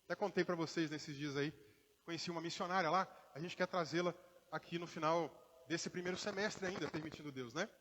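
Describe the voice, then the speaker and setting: A Brazilian man preaches with a mixed accent that leans toward Minas Gerais, his voice sitting fairly high for a man. He talks to the congregation in a relaxed, conversational way and acts out short quotes. The sound carries the long reverb of a church hall. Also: a little fast, slight background noise. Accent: mixed Brazilian Portuguese, partly Minas Gerais. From Brazil, born in Rio, raised in inland Minas Gerais. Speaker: pastor. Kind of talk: sermon.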